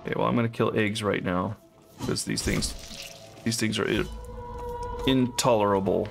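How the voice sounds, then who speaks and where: A man's voice: on the low side, fairly even intonation, moderate pace, creaky, music in the background. A man giving casual gameplay commentary, thinking aloud in short phrases. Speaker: gamer, co-op let's player.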